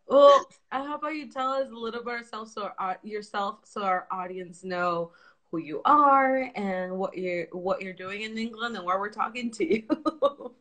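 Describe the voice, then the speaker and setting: A woman's voice: mid-range voice, moderate pace, fairly bright tone. Chatty young woman hosting a live chat. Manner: friendly, chatty, with frequent laughs. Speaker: host. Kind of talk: live video chat interview. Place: indoor room. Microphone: phone held close, front camera.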